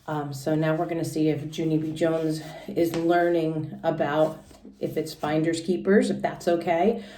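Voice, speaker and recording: A woman's voice: low voice, warm tone, far from the mic. A woman teacher reading a children's story aloud and recapping it casually, explaining simply and expressively for young listeners. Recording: echoey room sound.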